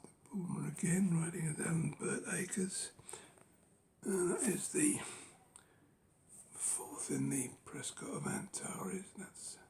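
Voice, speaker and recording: Hoarse man book collector, rough gravelly voice, unhurried half-whispered musing. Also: a little high, darkish tone. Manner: unhurried, musing, lots of ums and rights. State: losing his voice. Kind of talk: casual collection walkthrough.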